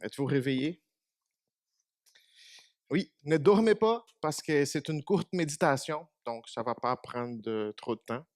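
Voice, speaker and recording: Preacher, male, native Portuguese speaker, slightly high voice, chatty sermon to a few people. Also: lively intonation, measured pace, a little mellow, no room sound, studio quality. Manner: conversational, asks the congregation questions. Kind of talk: short church meditation. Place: small church.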